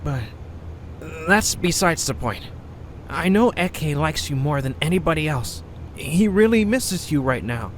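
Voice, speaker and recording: Amateur audio drama: male actor as a gentle, clumsy little flyer, slow and soft-spoken, light hiss. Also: on the high side, expressive. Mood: wistful. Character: small clumsy propeller-flying male creature, gentle.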